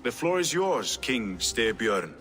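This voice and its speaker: A man's voice: medium pitch, very animated, fairly bright tone. An actor playing a proud, ambitious Viking king in a video game, speaking in a regal, commanding manner. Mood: stern judgement. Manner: grandiose, deliberate, regal. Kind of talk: theatrical video game oratory. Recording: long hall reverb, faint music behind.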